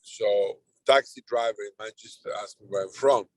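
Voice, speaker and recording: A man's voice: fairly low pitch, moderate pace, a little mellow, no echo. A middle-aged man with a Serbian accent, chairing an online roundtable in a loose, rambling way with frequent restarts. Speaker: conference chair. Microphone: video call.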